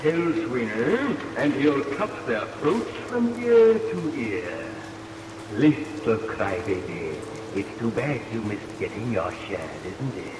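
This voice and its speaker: An actor playing a gloating, crazed murderous barber villain in a theatrical, taunting vintage radio melodrama style. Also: male voice, mid-range voice, sing-song, slow. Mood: sinister crooning. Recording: old radio recording, echoey, distant, loud rain noise.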